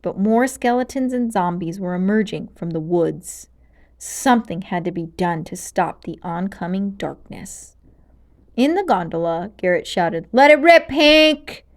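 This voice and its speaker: A woman narrating a children's storybook aloud in an expressive, dramatic and clearly paced way. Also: on the low side, unhurried.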